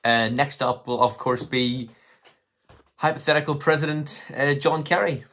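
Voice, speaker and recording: A man in his twenties with a slightly hoarse voice, talking casually and tentatively to camera as he thinks through his commentary. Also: mid-range voice, moderate pace, fairly bright tone. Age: 20s. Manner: tentative, hedging, thinking aloud. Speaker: political commentary vlogger. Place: small room at home, indoors.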